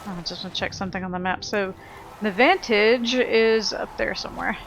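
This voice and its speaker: A woman's voice: mid-range voice, brisk, creaky, lo-fi sound, background noise. A woman giving casual commentary as she plays a video game, talking to herself and swearing offhandedly. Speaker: video game player recording a playthrough.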